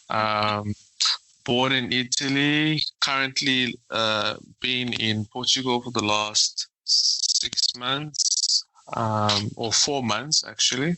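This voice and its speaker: Slow, hesitant man in his thirties. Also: medium pitch, bright, forceful tone, a little hiss or hum, rough recording. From South Africa. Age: 30s. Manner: hesitant, searching for words with restarts. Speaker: guest, aeronautical engineer. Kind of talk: casual interview answers.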